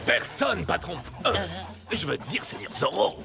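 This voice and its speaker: A man's voice: high-pitched, big pitch swings, measured pace, gravelly, full character voice. A man voicing a bumbling, gluttonous and easily frightened sergeant in a cartoon, stammering and hesitant. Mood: flustered, scared. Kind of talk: animated cartoon dub.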